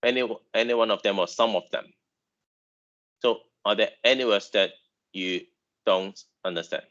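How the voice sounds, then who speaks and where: A man's voice: lowish voice, moderate pace, a bit bright, dull audio. A man whose first language is Chinese, lecturing online about engineering, who halts and repeats words with many fillers.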